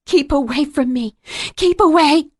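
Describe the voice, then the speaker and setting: A woman's voice: high-pitched, steady tone, unhurried, a little mellow, no echo, clean studio sound, voice pushed up. A woman narrator voicing a terrified young woman who speaks in a desperate, frightened way.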